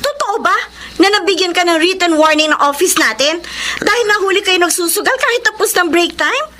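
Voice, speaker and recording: An exasperated young Filipina girlfriend in a radio drama. Her voice is extremely high and sharp, nagging with indignation, with faint noise in the recording. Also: a little fast. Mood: indignant. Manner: scolding, indignant.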